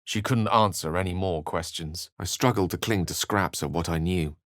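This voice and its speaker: An actor playing a learned, dramatic wizard adventurer in a video game, speaking in an articulate, theatrical storytelling manner. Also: male voice, deep voice, normal speed, dry recording, studio quality, lightly acted. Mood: grave narration. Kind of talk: scripted video game character lines and narration.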